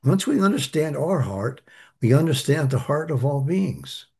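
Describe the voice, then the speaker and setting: A man's voice: on the high side, very animated, normal speed, creaky, mellow, slightly rough. A man teaching a spiritual study group, earnest and emphatic, repeating key phrases as he answers questions.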